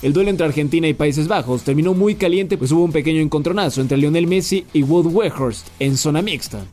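A man hosting a sports news podcast, reading the day's football stories in a brisk, scripted way. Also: fairly high pitch. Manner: brisk, even headline delivery.

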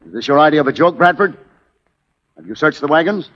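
A suspicious cavalry colonel played by a male actor in a 1940s radio western. His voice is fairly high, brisk and full of authority, on a dull old recording. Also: expressive, natural voice. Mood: stern, angry.

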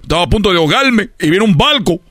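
A man's voice: high-pitched, expressive, fast talker, fairly bright tone, no room sound, studio quality, faint music behind. A man playing a boastful Cuban baseball player with a Cuban accent in a comedic radio sketch, rambling and tripping over his words. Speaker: comedy character on a radio show.

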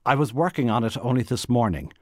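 A man voicing a polite, flustered young woman who chatters and tries to stay calm, in an audiobook story. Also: mid-range voice, fast, no room sound, studio quality, pitched above the performer's own voice, natural voice. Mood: polite, nervous chatter. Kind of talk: literary audiobook character voice.

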